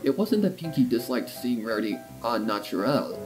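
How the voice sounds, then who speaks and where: A man's voice: medium pitch, big pitch swings, normal speed, low-quality recording. A man narrating a scripted story as an audiobook-style reader. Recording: soft music behind the voice.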